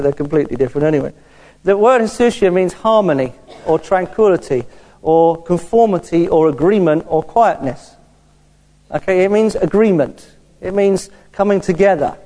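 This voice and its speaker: A man teaches from the Bible as a preacher, informal and conversational. His voice sits a little high for a man yet stays soft and mellow, with wry asides between explanations, and it rings with the long reverb of a hall.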